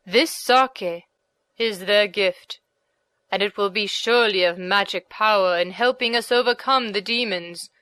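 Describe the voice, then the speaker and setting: A woman's voice: medium pitch, measured pace, bright, forceful tone, dry recording, pitched below the performer's own voice. A woman voicing a brave, noble male warrior leader in an audiobook, with an earnest, commanding delivery. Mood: confident, reverent. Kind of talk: audiobook character reading.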